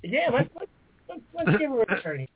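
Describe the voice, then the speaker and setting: A man's voice: very high-pitched, very animated, moderate pace, slightly rough, no room sound. A man co-hosting a casual call-in show, easygoing and wry. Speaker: co-host. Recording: dry, tinny phone-like sound.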